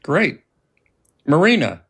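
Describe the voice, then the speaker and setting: A man presenting a scripted podcast introduction as host and writer-director, formal and measured. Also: a little high, sing-song, vocal fry, husky.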